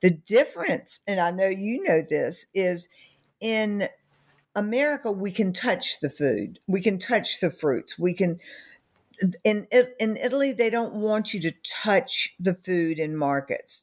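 An older woman with a Southern US accent speaks in an interview at a slow, unhurried pace. She picks her words with care, and her sentences often trail into pauses and fillers. Her pitch sits in the middle range. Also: expressive. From North Carolina. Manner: slow, measured, with many fillers and hesitations. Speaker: author and company founder. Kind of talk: interview conversation.

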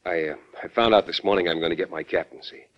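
An actor playing a respectful, hesitant young army lieutenant in an old-time radio drama. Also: male voice, sits low, quick pace, fairly bright tone. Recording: dull, lo-fi vintage radio recording.

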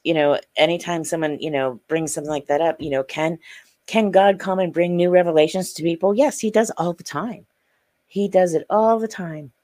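A woman's voice: fairly low pitch, steady tone, normal speed, darkish tone, no room sound. A woman guest on a podcast who speaks earnestly and insistently in casual conversation.

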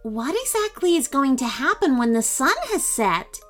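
A woman's voice: high-pitched, sing-song, normal speed, a bit bright, faint music behind, voice pushed up. A woman voicing a polite, thoughtful young boy who speaks hesitantly and carefully. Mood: polite, curious. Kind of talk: storybook character voice.

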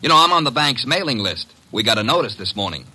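A brash, streetwise assistant to a private detective in an old radio drama. His voice is very bright and forceful, husky and animated, with slangy, sardonic delivery. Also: mid-range voice, fast talker, heavily acted, poor audio. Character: wisecracking, streetwise male detective's assistant and narrator.

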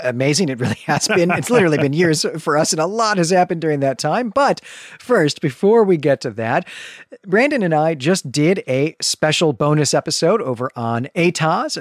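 A man with a slightly high voice co-hosts a literary podcast. He talks the book through in a thoughtful, explanatory way and laughs lightly now and then. The sound is close and dry. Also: no echo. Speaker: podcast co-host. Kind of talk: casual book discussion.

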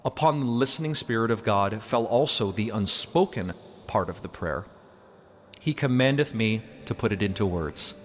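A man voicing a solemn, fervent messenger of God in a storybook reading, preaching in measured archaic phrases. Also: lowish voice, lively intonation, fairly bright tone, soft background music. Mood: commanding. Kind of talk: dramatic storybook narration.

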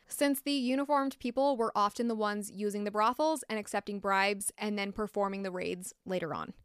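A woman's voice: mid-range voice, fairly bright tone, clean tone, dry recording. An American woman hosting a podcast, narrating a true story with blunt, opinionated asides from a close mic. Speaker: podcast host.

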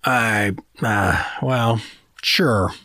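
A man's voice: lowish voice, extremely slow, noisy background. A man playing a bewildered, awkward middle-aged average dad in a comedic audio drama, hesitant and rambling. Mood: awkward, puzzled. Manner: hesitant, rambling, easily flustered.

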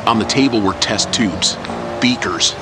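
A man's voice: lowish voice, measured pace, heavy background noise. A man reading scripted narration as the narrator of an audio drama. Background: music.

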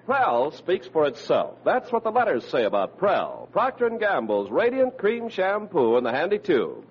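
A male 1940s radio announcer with a polished sales delivery. His voice is high and very animated. It sits over music on a muffled old recording. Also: normal speed, a little hiss or hum.

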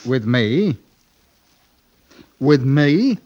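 An actor playing a gruff, leering gentleman, halting and insinuating, in a 1950s radio drama. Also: mid-range voice, very animated. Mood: eager. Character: gruff, leering English man shopping for servant girl. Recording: muffled old radio recording.

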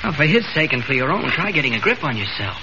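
An actor playing a steady, reassuring reporter who is secretly a superhero, in a 1940s radio serial style. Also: male voice, fairly high pitch, quick pace. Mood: calm, reassuring. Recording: noisy, muffled vintage radio recording.

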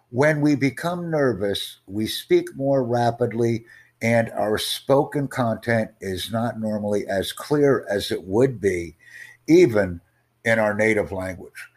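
Man, mid-pitched voice, podcast tutor, slow formal delivery, rhetorical questions. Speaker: IELTS tutor and podcast host. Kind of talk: teaching podcast Q&A.

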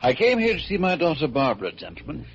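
A man's voice: fairly high pitch, huge pitch swings, fast talker. An actor playing a wealthy, middle-aged father in a 1940s radio drama, formal, courteous and faintly hesitant. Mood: formal, concerned. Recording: muffled, noisy vintage radio recording.

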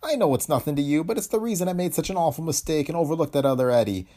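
A man's voice: fairly high pitch, lively intonation, rapid-fire, dark tone, voice pushed up, natural voice. A man voicing a plump, miserable middle-aged studio employee in an old science fiction story, pleading and choked with guilt. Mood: miserable, apologetic. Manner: pleading, self-blaming.

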